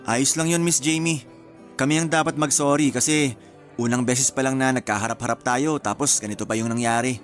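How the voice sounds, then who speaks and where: An actor playing a moody, put-upon groom-to-be with a Filipino accent in a radio drama, with music behind. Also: male voice, mid-range voice, steady tone, fairly quick, lightly acted. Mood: apologetic.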